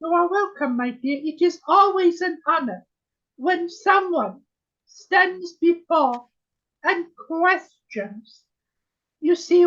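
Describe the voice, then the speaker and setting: A trance medium channeling a gentle female spirit sister on a video call, speaking in a solemn, old-fashioned way and calling everyone my dear. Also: high voice, lo-fi sound, full character voice. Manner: slow, solemn, archaic phrasing, keeps saying my dear.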